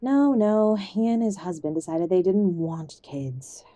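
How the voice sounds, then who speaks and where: A woman acting an ailing older mother in an audio drama, gentle and affectionate, old-fashioned and stubbornly optimistic, fond of endearments. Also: mid-range voice, expressive, measured pace, no room sound. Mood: fond, proud. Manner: gentle, fussing, uses endearments.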